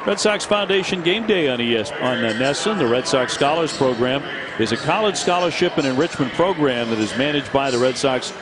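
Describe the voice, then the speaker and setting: Baseball play-by-play guy, brisk and chatty, stadium noise behind him. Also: mid-range voice, animated. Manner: brisk, conversational, reads promos. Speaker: play-by-play announcer. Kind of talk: baseball broadcast commentary. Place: ballpark broadcast booth.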